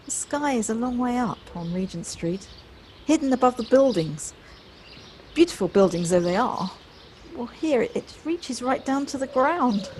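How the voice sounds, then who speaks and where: Female actor as polite city visitor, higher-pitched, reflective and hesitant, audio drama on a slightly noisy home recording. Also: expressive, normal speed, darkish tone, close to an everyday voice. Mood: wistful wonder. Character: polite, wistful city woman returning to countryside. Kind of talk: audio play acting. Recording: home device recording, light background noise.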